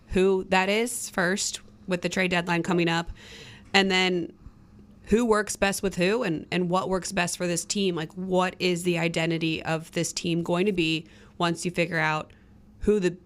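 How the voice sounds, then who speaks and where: A woman's voice: fairly low pitch, flat delivery, moderate pace. An American woman, a sports host and reporter, talking casually as part of a roundtable discussion.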